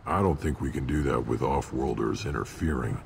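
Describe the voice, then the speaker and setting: An actor playing a surly, blunt alien warrior in a video game, gruff and dismissive, with a deep gravelly voice. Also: male voice, normal speed, exaggerated voice. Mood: scornful, gruff. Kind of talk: video game character acting. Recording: long reverb, clear background noise.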